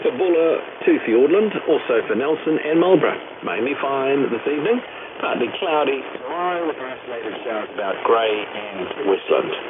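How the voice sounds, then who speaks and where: A man's voice: mid-range voice, animated, moderate pace. A man with a New Zealand accent reading a scripted weather bulletin as a radio newsreader. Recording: crackly, noisy, narrow-band shortwave radio. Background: clear radio static and noise.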